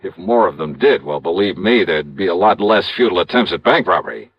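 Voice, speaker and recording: A man's voice: sits low, lively intonation, brisk, husky, heavily acted. An actor playing a wry, tough insurance investigator who narrates his cases in 1950s radio detective style. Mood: confiding, teasing. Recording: dull vintage radio recording.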